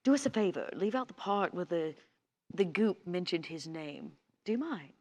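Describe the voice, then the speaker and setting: A woman's voice: on the low side, animated, moderate pace, a little mellow. A woman playing a playful, teasing female vampire in an improvised tabletop game. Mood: coaxing.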